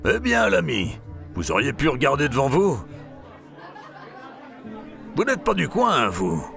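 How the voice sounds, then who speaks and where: Gravelly, cruel old drunkard blacksmith. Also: male voice, mid-range voice, wildly swooping pitch, a little slow, fairly bright tone, soft background music, some chatter behind, exaggerated voice. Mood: wary, grumbling. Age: older. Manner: gruff, drawling, muttering hesitations. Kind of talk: French audio fiction acting.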